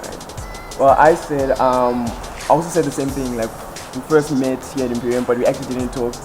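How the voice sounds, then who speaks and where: A young man of eighteen tells his side of a story in a halting, easygoing way, filling his pauses with ums. His pitch sits in the middle range. Background music plays clearly beneath his voice. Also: fairly even intonation. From South Africa (Eastern Cape). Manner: hesitant, many ums and "actually". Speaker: first-year law student, interviewee. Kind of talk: casual interview answers. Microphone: headset.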